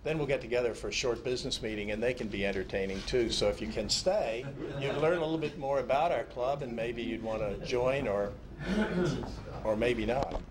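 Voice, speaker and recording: A man's voice: mid-range voice, fairly quick. A man informally hosting a club meeting, calling on guests and explaining what comes next. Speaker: meeting host. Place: indoor club meeting room. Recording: noisy live meeting recording.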